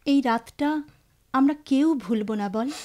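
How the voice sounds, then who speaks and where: A woman's voice: high voice, measured pace, darkish tone. An actress playing a caring, anxious friend and devoted mother in an audio drama, speaking in a tender, earnest, coaxing way. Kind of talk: Bengali audio drama acting. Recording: music behind the voice. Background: music.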